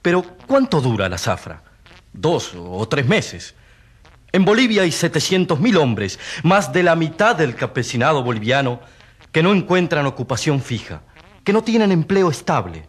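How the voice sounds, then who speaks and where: An actor playing an earnest country doctor testifying in a courtroom radio drama, explaining with grave, emphatic concern. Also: male voice, on the high side, big pitch swings, moderate pace, husky. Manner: emphatic, expository. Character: earnest doctor witness, long among peasants, gravely concerned.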